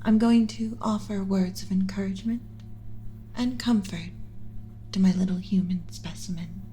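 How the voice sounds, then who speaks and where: Woman acting a gentle alien mother scientist, ASMR roleplay, mellow and breathy, unhurried, soothing classroom instruction, faint background ambience. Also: medium pitch, fairly even intonation, slight background noise, natural voice. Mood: gentle, instructive. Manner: soothing, measured, instructional, often breathy and whispery.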